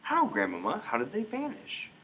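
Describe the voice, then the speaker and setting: A man's voice: fairly high pitch, wildly swooping pitch, moderate pace, pushed and bright, a little hiss or hum, voice pushed up, full character voice, rough recording. A man voicing a curious seven-year-old boy who asks wide-eyed, insistent questions in a storybook reading. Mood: eager curious.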